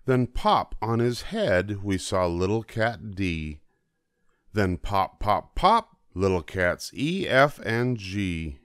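Older man, unhurried, animated story reader. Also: fairly low pitch, clear, resonant tone, no room sound, studio quality. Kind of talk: expressive scripted children's story narration in rhyme.

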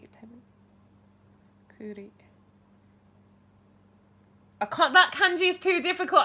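A young woman chatting casually to viewers on a live stream from her bedroom, bubbly and animated, with her phone held at arm's length. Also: high voice. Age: young adult. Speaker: livestream host answering viewer questions. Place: small bedroom indoors.

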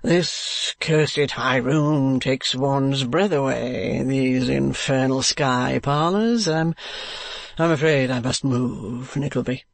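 A male audiobook narrator voicing a vain, foppish gentleman with a mincing, affected manner. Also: medium pitch, takes it slowly, vocal fry, no echo. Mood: drawling. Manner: mincing, affected, swearing lightly. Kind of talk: audiobook character reading.

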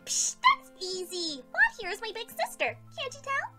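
A woman playing a bubbly, affectionate young cartoon pony aunt with an exuberant, squeaky delivery. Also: huge pitch swings, moderate pace, heavily acted. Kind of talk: animated fan series voice acting. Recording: music behind the voice.

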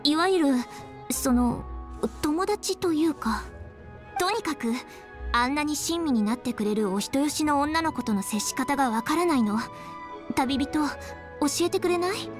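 A woman's voice: sits high, lively intonation, measured pace, soft background music. A woman playing a capable, proud young woman in an anime-style game, composed and prim but shy about her feelings. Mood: embarrassed. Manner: composed, prim, slightly stiff.

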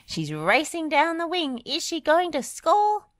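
A woman voicing an excitable little female cement mixer in a children's story, squeaky and full of exclamation. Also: takes it slowly, dry recording, studio quality, heavily acted. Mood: excited. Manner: exclaiming, shrill.

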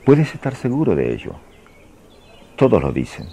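A man acting a chatty, mellow fisherman. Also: mid-range voice, huge pitch swings, normal speed, breathy edge, exaggerated voice. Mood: fond, reminiscing. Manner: folksy, chatty, teasing. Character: Peter, plain-spoken middle-aged fisherman fond of his wife. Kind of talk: religious radio drama acting.

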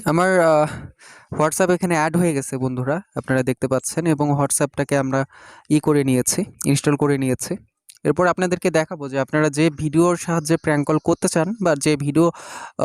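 A young man hosting a screen-recorded phone app tutorial, talking slowly and hesitantly with drawn-out fillers. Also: fairly high pitch, darkish tone, clean tone, dry recording, clean studio sound. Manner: hesitant, many drawn-out fillers while clicking. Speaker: tech tutorial host.

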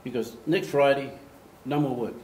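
An older man tells stories in lively fashion from a lectern, recorded by a camera across an echoey meeting room. His voice sits at an average pitch. A faint room ambience hangs behind him. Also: moderate pace, a little hiss or hum. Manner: animated, gestures, acts out quoted voices. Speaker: speaker giving a slide talk. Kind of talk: storytelling presentation. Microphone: far camera.

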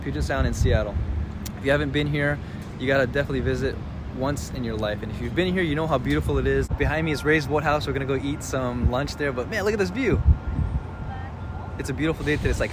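A man in his thirties chatting casually to viewers in a travel vlog, outdoors on a sunny waterfront deck, filming on a phone held at arm's length. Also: medium pitch. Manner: upbeat, chatty, explaining to viewers. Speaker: vlogger. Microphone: phone camera held at arm's length. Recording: outdoor phone audio with steady noise.

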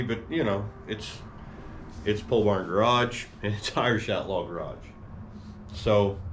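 A man hosting a car channel, talking in a laid-back, rambling, folksy way. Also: mid-range voice. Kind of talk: casual vlog chat.

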